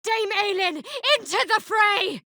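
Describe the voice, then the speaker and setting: A woman playing a guarded, sardonic young female cleric of a dark goddess in a video game, with a dry, wry delivery. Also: very high voice, lively intonation, slow, no echo, full character voice. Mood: proud, rallying. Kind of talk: video game character dialogue. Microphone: close studio microphone, dry.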